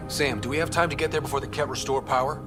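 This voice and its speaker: A man's voice: medium pitch, fairly even intonation, quick pace, music in the background, natural voice. A man playing a determined, earnest young space explorer and leader in a video game, decisive and sincere. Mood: concerned. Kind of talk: video game cutscene acting.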